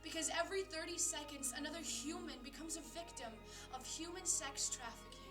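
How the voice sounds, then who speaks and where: A woman performing a slam poem in an earnest, dramatic way, with rhythmic rhymed phrasing that moves between emphatic and hushed. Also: high-pitched, brisk, music in the background. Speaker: spoken word poet.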